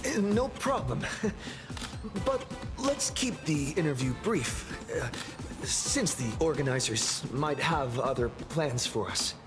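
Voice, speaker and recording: Young male hero, game: modest, earnest, lively intonation, slightly high voice. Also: takes it slowly, music in the background. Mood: polite, slightly awkward. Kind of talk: anime game voice acting.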